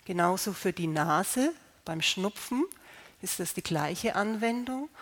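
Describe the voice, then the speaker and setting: A woman with a slight cold calmly explaining how to use healing stones, unhurried, with fillers and restarts. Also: on the low side. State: slight cold, clearing throat. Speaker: gemstone healing presenter.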